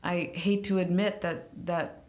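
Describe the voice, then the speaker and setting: A woman's voice: lowish voice, little pitch movement, a little slow, a little mellow. A woman whose first language is Hungarian, a podcast guest talking politics in a thoughtful, hedging way. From United States. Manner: thoughtful, hedging, with small laughs. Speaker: podcast guest, former congressional staffer. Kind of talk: casual podcast conversation.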